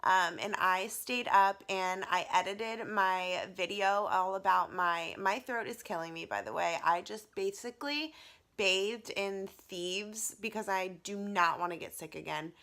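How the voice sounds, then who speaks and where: A young woman vlogging to her camera. She is losing her voice and sounds raspy, and she gushes with excitement and fills her talk with "like". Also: medium pitch, unhurried. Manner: excited, gushing, many "like" fillers. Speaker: vlogger and teacher. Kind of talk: casual talking-to-camera vlog.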